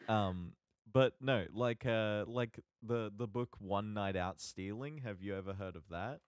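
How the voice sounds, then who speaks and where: A man co-hosting a podcast in casual, joking conversation, halting often and swearing casually. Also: lowish voice, dry recording, clean studio sound. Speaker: podcast co-host.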